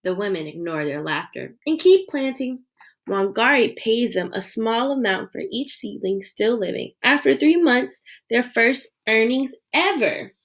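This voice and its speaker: A woman with a slightly high voice reads a picture book aloud. Her delivery is expressive storytelling, shaped for young listeners. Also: measured pace. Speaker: read-aloud reader.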